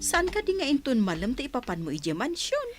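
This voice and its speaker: A woman's voice: high-pitched, huge pitch swings, a little fast, over loud music. An actress with a Filipino Ilocano accent playing a caring, concerned middle-aged mother in a radio drama.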